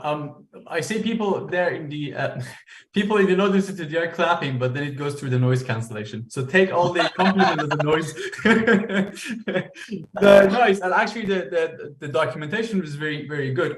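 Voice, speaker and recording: A man hosting a meetup on a video call, friendly and laughing often while reading out the chat. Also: on the high side. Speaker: meetup host. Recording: echoey video call audio.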